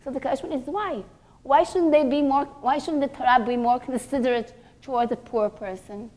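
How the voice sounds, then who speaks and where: A woman with a fairly high voice gives a religious lecture. She speaks deliberately and emphatically, breaking her thoughts into short phrases with pauses. She often poses rhetorical questions as a teacher would. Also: a little mellow. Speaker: religious teacher giving a class for women.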